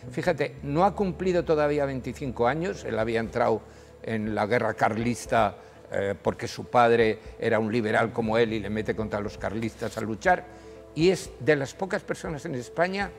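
An older man in a TV studio, telling history as a guest with animated, emphatic delivery. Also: long reverb tail. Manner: animated storytelling, rises in pitch when emphasizing. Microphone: lapel.

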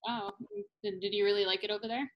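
A woman's voice: mid-range voice, very animated, fast talker, muffled recording. A young woman interviewing a student over a webcam video call from a small room at home, asking friendly, rambling questions. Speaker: interviewer for language school. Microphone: laptop webcam on video call.

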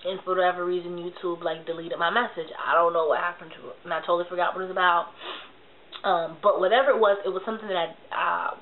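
A woman's voice: lowish voice, steady tone, quick pace. A young woman with a congested, nasal voice chats casually to camera, giving advice in slangy asides and smacking her lips as she talks. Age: young adult. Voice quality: nasal from a cold. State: congested, slightly sick. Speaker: video blogger giving relationship advice. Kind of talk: casual confessional chat to camera. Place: small bedroom, indoors. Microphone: webcam mic at arm's length. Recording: tinny lo-fi webcam audio with hiss.

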